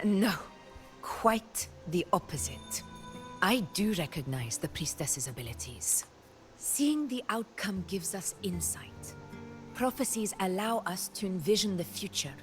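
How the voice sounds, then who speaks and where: A woman playing a proud, ambitious warrior in a video game, wry and brooding, speaking in measured, dramatic phrases with music underneath. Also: mid-range voice, very animated. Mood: earnest, reflective. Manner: measured, dramatic, with weighted pauses. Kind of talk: scripted video game story acting.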